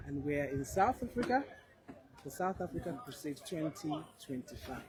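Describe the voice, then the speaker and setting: A woman's voice: low voice, very animated, moderate pace. A woman from Nigeria who leads a church group, answering interview questions with confidence and enthusiasm. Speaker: church leader. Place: large outdoor expo venue. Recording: loud crowd behind. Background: crowd noise.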